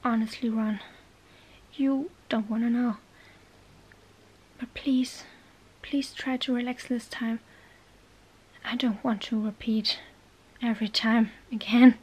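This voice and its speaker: A woman playing a bookish, exasperated young witch in an ASMR roleplay, halting and bossy, often whispering. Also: fairly high pitch, steady tone, darkish tone, breathy edge, poor audio. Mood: anxious, coaxing. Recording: faint background noise.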